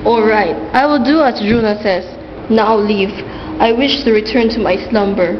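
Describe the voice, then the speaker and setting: A woman's voice: mid-range voice, natural voice. A woman playing a drowsy, grumpy, forgetful male god of sleep in an amateur radio play. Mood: resigned, sleepy. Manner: groggy, slow to understand. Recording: muffled, noisy, long reverb.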